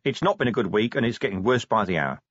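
A man's voice: fairly low pitch, lively intonation, quick pace, a bit bright, slightly rough, dry recording, highs cut off. A man voicing an irritable, world-weary police commander with a curt, sardonic way of talking, in an audiobook story. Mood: awkward, weary.

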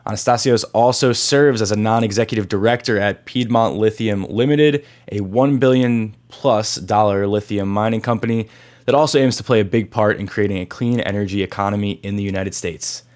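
A man hosting an interview show, reading a smooth scripted introduction and asking questions conversationally. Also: fairly low pitch, a little fast, darkish tone. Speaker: podcast host.

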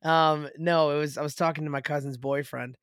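A raspy-voiced American man co-hosting a casual sports podcast, telling stories in a jokey, profane way. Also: a little high, steady tone, brisk, a bit bright, dry recording, clean studio sound.

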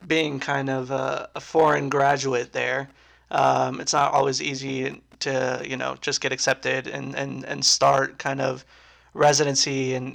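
A young man in his twenties hosting a casual podcast with friends, talking in a relaxed, rambling way with lots of "kinda" and fillers. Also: medium pitch, creaky. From United States. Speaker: podcast host.

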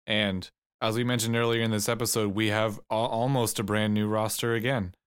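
Clear, smooth-voiced man, casual and slangy. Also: lowish voice, little pitch movement, fairly quick, no room sound, studio quality. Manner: informal and slangy, with frequent "like" and "you know". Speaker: podcast co-host and soccer fan. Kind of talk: casual sports podcast chat.

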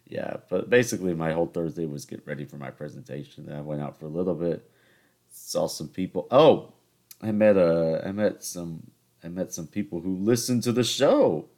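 A man's voice: low-pitched, a little mellow. A young man in his twenties from the American South with a New York-tinged accent, hosting a solo podcast and telling rambling, joking stories about his week. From Charleston, South Carolina. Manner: rambling, joking, self-mocking. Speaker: podcast host. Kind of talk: casual solo podcast storytelling.

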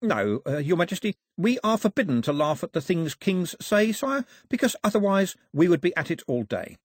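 A man voicing a grave, deferential royal gentleman with formal, dryly pedantic speech in an audiobook story. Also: high voice, big pitch swings, normal speed, no room sound, clean studio sound, pitched above the performer's own voice. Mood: dryly courteous.

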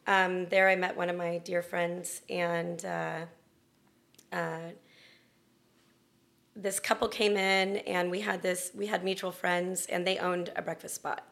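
Middle aged american lady being interviewed, lowish voice w/ lots of vocal fry, chatty, kinda stop and start. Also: level tone, takes it slowly, a bit bright. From Florida and Texas, then Long Beach, California. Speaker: interview guest, restaurant owner. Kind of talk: casual conversational storytelling.

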